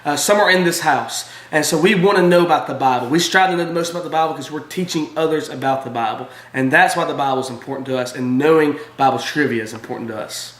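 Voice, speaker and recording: A man's voice: on the high side, fast talker, hardly any pauses, fairly bright tone, roomy sound. A man, talking eagerly in a casual video submission. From Alabama. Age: 20s. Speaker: college student, small group leader.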